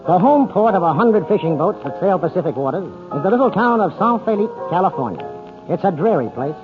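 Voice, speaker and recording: Animated high-voiced male 1940s radio announcer. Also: a little fast, music in the background. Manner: polished theatrical announcer delivery. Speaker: radio show host and narrator. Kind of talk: 1940s radio scripted narration. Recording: tinny 1940s radio broadcast recording.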